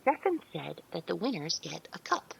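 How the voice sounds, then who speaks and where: Audio drama role: woman voicing an innocent, earnest AI, formally polite, animated intonation with a creaky edge. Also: on the low side, normal speed, exaggerated voice, rough recording. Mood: curious. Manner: precise formal wording, innocently literal. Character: polite, naive artificial intelligence woman, earnest and childlike.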